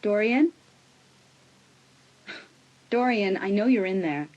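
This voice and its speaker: A woman playing a taunting, menacing teenage younger sister in a dramatised audiobook, with a breathy, husky voice. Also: medium pitch, expressive, slow pace. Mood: probing. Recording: slightly dull sound.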